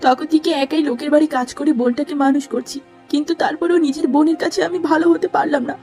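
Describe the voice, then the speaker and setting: A woman voicing a humble, long-suffering poor young woman in a cartoon, gentle and plaintive. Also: high-pitched, fast, faint music behind, voice pushed up. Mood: sorrowful. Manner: plaintive, pleading.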